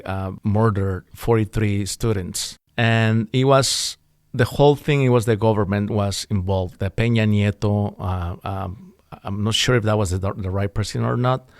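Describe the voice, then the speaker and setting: A man's voice: fairly low pitch, smooth, no room sound, clean studio sound. A middle-aged man, a musician chatting as a guest, talking in a loose, rambling way full of fillers and restarts. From Mexico City. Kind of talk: casual interview chat.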